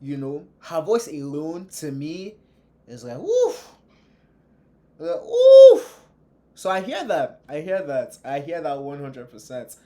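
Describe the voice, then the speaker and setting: A man reacting to a video in casual, slangy, animated commentary. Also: fairly high pitch, takes it slowly. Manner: animated, slangy, jokey asides.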